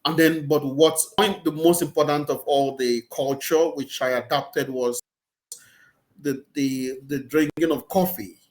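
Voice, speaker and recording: A middle-aged Nigerian-accented man speaks in a fairly high voice for a man, heard over a video call from a small room. He pauses and repeats words while he looks for the right phrasing, in an easy conversational way. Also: steady tone, darkish tone. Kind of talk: casual interview conversation. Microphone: video call, laptop or webcam.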